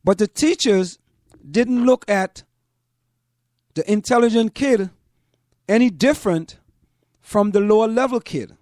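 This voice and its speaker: A man from Trinidad and Tobago, speaking as a show host in deliberate commentary and repeating phrases for emphasis. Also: high-pitched, a little mellow, dry recording, clean studio sound. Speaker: host of a community parents' talk show. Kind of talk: spoken commentary to listeners.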